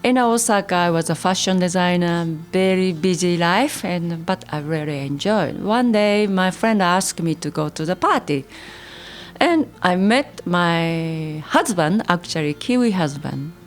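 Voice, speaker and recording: A Japanese woman, a native Japanese speaker, tells her migration story in simple, halting English and laughs often. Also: lowish voice, lively intonation, faint music behind. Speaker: interviewee. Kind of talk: personal story, monologue.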